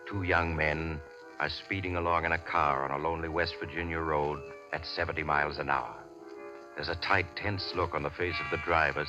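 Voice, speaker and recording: Deep, sinister radio storyteller. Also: male voice. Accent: American. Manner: slow, ominous, inviting. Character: sinister, genteel mysterious traveler, male storyteller. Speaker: host and narrator. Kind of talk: 1940s radio acting, suspense narration. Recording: muffled old radio recording, loud music.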